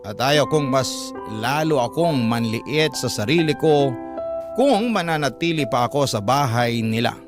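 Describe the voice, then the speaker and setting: Filipino guy narrating a radio drama letter, emotive, average pitch, really loud music behind him. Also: lively intonation, measured pace. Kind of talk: dramatic reading of a listener's letter. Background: loud background music.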